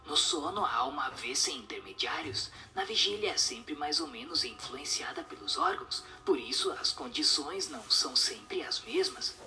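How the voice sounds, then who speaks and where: A man voicing a solemn spirit who answers questions in a breathy, whispery, didactic way. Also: a little high, huge pitch swings, sharp tone, soft background music, heavily acted. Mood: solemn, instructive. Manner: didactic, measured explanations.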